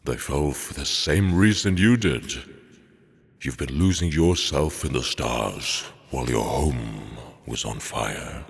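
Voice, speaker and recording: Omniscient otherworldly sage, rock-bottom rumbling creaky voice, grave philosophical manner, vast echo, audio drama. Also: male voice, exaggerated voice. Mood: grave, reproving. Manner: solemn, measured, philosophical. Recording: cavernous long reverb, faint music behind.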